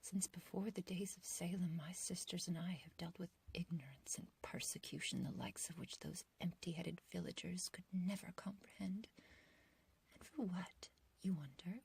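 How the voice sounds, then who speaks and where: A woman playing an ancient, beautiful witch in an ASMR roleplay, obsessively loving and menacing, speaking in a breathy whisper with archaic, theatrical phrasing. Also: fairly low pitch, darkish tone, heavily acted. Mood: bitter, proud. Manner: slow, theatrical, archaic wording, doting yet condescending.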